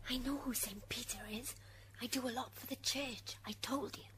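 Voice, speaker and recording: A woman's voice: a little high, normal speed, a bit bright, lightly acted. A woman playing a proud, defensive dead churchgoer on trial in an amateur radio drama. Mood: huffy, hushed. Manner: defensive, huffy.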